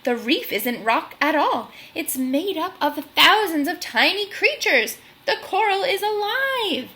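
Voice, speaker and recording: A woman voicing an excitable male animal scientist who is eager to explain, in a children's storybook. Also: extremely high pitch, a little slow, pitched above the performer's own voice, full character voice. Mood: excited.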